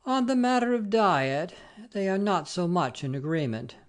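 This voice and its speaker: Nervous gentleman visitor, played by a man: polite, formal, earnest, preoccupied with his ailments, classic story audiobook. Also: high-pitched, big pitch swings, normal speed. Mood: earnest, self-absorbed. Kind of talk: dramatic audiobook reading.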